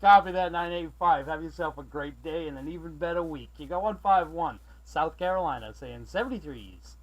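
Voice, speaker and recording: A man from Massachusetts chatting as a CB radio operator, friendly and excitable, calling out callsigns and sign-offs. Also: a little high, moderate pace, highs cut off. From Massachusetts, USA. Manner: excitable, friendly, prone to raising his voice. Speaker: CB radio operator running the channel from South Carolina.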